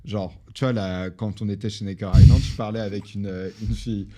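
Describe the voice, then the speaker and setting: A young French man, a guest on a podcast, telling business stories in a casual, animated way with lots of fillers. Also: fairly low pitch, fairly quick. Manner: animated storytelling with many fillers. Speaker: online business founder, guest.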